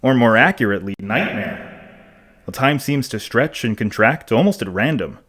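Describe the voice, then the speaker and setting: A pensive man played by an actor hosting a podcast in an audio drama. His voice sits at a middling pitch as he rambles conversationally through a scripted monologue. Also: expressive, moderate pace, fairly bright tone, husky. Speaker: podcast host.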